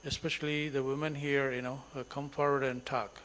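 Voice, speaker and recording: A man giving a formal welcome address at a symposium, pausing often with fillers. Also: mid-range voice, level tone, a bit bright, a little hiss or hum. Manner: hesitant, many fillers. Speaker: senior scientist welcoming attendees. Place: symposium hall.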